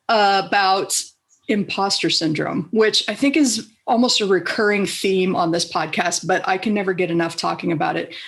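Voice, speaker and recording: Chatty Southern woman hosting a podcast. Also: medium pitch. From Southern United States. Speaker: podcast host, coach. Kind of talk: casual interview talk.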